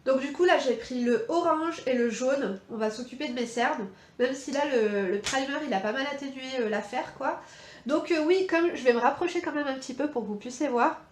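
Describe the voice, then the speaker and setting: A woman's voice: high-pitched, fairly quick, reverberant. A woman with a French accent chatting casually through a makeup tutorial, rambling with lots of fillers and slangy asides. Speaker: beauty vlogger. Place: indoor room at home.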